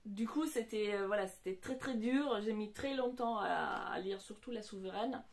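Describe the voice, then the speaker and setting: A non-native French-speaking woman of about forty, chatting on camera about books. Her voice sits at an ordinary middle pitch. She pauses often and restarts her sentences as she talks. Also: a little mellow. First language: not French. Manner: hesitant, many fillers and restarts. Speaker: book vlogger. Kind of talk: casual book talk to camera.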